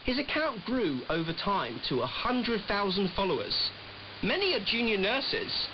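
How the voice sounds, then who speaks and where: A man's voice: high voice, big pitch swings, a little slow, noisy background. A man working as a radio reporter, delivering a scripted report. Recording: muffled shortwave radio with music.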